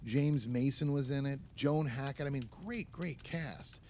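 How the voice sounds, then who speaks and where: An actor playing an opinionated, nostalgic film writer, talking emphatically and at length among friends in a naturalistic audio play. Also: male voice, medium pitch, a little slow, darkish tone, a little hiss or hum, close to an everyday voice. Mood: exasperated, nostalgic.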